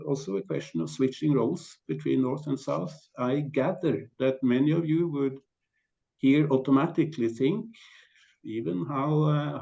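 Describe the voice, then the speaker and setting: Halting, gravelly Norwegian-accented man presenting. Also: mid-range voice, warm tone, highs cut off. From Norway. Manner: halting, repeats words, frequent throat clearing. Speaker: invited conference speaker. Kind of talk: conference presentation with slides.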